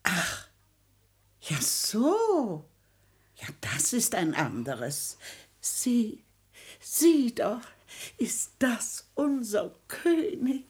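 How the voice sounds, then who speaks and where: A woman's voice: on the high side, sing-song, extremely slow, pauses often, breathy edge, heavily acted. An actress playing a fussy, bossy, doting old grandmother in a theatrical radio comedy, exclaiming and scolding. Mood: delighted. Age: older. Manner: scolding, exclamatory, chatty, old-fashioned stage German.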